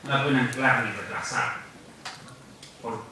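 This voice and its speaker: Argentine-born male lecturer, bright forceful voice, earnest and reflective, heard off-mic in a very reverberant hall. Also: medium pitch, measured pace, recorded from a distance, slight background noise. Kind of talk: public lecture. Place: large university lecture hall.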